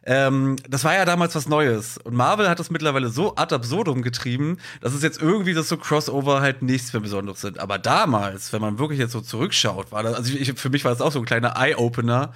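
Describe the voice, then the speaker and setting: A man's voice: mid-range voice, fast, few pauses, fairly bright tone. A man chatting casually and colloquially on a podcast, mixing in English slang. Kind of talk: casual chat, reminiscing.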